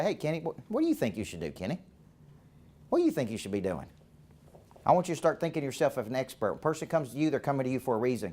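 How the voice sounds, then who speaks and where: A man's voice: fairly high pitch, a little mellow. Fired-up Southern man coaching a room. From American South. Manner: punchy, keeps checking in with the crowd. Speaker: business coach. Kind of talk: live seminar talk to a room. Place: event room with a live audience.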